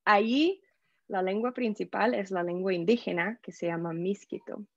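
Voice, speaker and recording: A woman from the United States with an American English accent, a podcast guest telling her story in a lively way and slipping English words into her Spanish. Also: medium pitch, moderate pace, creaky, no echo, lo-fi sound. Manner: animated, acts out quoted voices, mixes English words into Spanish. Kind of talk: casual interview storytelling.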